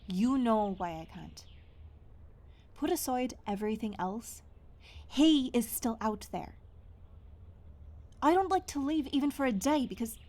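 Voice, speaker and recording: Woman acting a guarded, higher-voiced fantasy loner. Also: darkish tone. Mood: troubled, earnest. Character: guarded, stubborn fantasy woman living alone in the wilds. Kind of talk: fantasy audio roleplay acting.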